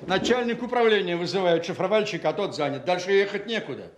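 A man's voice: high voice, expressive, fast talker. A man acting a stern, impatient Soviet army general in a Russian radio play, speaking in a curt, commanding way. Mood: angry, reproachful. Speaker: actor in an audio drama.

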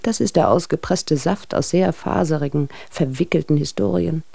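An actress playing a wry, gossipy friend who reports on a couple in letters, dry and observant, in a radio drama. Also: female voice, low voice, moderate pace, gravelly, mellow, no echo, heavily acted.

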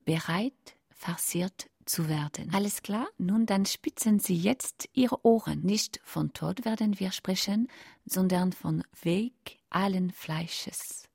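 Macabre cookery hostess for radio drama, unhurried, breathy, courteous and intimate. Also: female voice, medium pitch, darkish tone, no room sound, studio quality, heavily acted. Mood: hushed, sensual. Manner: slow, deliberate, breathy, often near whispering. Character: courteous, macabre female cookery instructor addressing her audience. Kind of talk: intimate scripted radio monologue.

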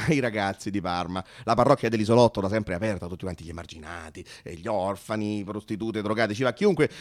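A man's voice: mid-range voice, fairly bright tone. A man telling a story as a theatrical radio monologue, emphatic, colloquial and ironic, piling up lists and repetitions. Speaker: narrator and actor.

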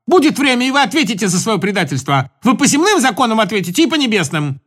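A man putting on a furious, accusing woman with a high voice that swoops up on every threat. She lectures in indignant bursts, promising judgment, her pitch darting sharply from phrase to phrase. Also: big pitch swings, fast, a bit bright, slightly rough, full character voice.

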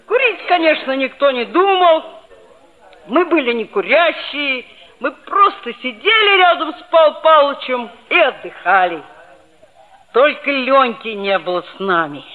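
A woman's voice: sits high, unhurried. A woman playing a boastful, touchy schoolboy who tells his story expressively and earnestly in a 1960s Soviet radio play. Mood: earnest storytelling. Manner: expressive, self-important, earnest. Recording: dull old radio recording, faint music.

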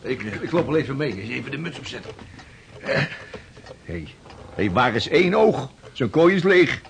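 Hearty ship's officer, commanding, animated intonation, period radio play, faint hiss. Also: male voice, mid-range voice, normal speed, creaky. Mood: brisk. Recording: hissy old radio drama recording.

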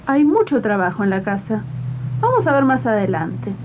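A woman's voice: very animated, normal speed, lightly acted. A woman playing a bossy, exploitative mistress of the house in a radio drama, scolding and impatient. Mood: dismissive. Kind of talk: 1980s community radio drama acting. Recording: dull, noisy archival tape with rumble.

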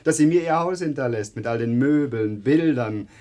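An actor in a German radio play playing a highly strung, scheming young English gentleman with theatrical, well-spoken delivery. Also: moderate pace, no echo, close to an everyday voice. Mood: elated.

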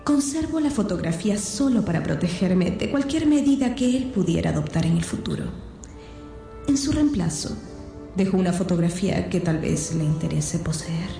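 Una actriz que interpreta a una dama astuta, elegante y burlona que lee una carta en una radionovela, con eco. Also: female voice, lowish voice, animated, normal speed, gravelly, mellow, faint music behind. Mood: poised, teasing. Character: clever, poised lady adventuress, elegantly teasing. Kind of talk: radio drama letter reading. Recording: roomy echo on the voice.

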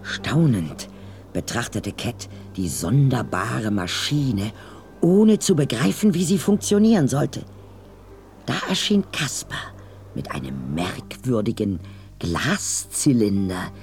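Guy narrating a radio play, really lively storyteller, bit of music under. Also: on the low side, slow pace. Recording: music behind.

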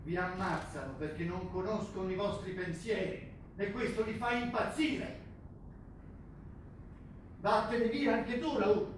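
A world-weary, fearful older rugby coach in a stage drama. The voice is raised high, emphatic and stern, heard from afar in a very echoey theatre with clear background noise. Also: male voice, unhurried, pauses often. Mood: urgent warning. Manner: emphatic, warning. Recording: echoey theatre, distant mic, background hiss.

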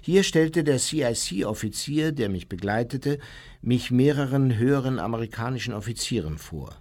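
An actor plays an unrepentant male war criminal in a radio drama. His mid-pitched voice is husky, and he recounts his past in measured, formal sentences without emotion. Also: animated, darkish tone, close to an everyday voice. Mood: matter-of-fact. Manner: measured, matter-of-fact, formal. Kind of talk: radio play first-person memoir narration.

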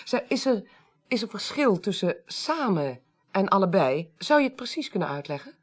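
An actress in a Dutch radio play voicing a tactful, analytical investigator who speaks politely and carefully, with a Netherlands accent. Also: female voice, medium pitch, very animated, moderate pace. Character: tactful, analytical professional woman on an investigating committee. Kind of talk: 1980s radio drama acting.

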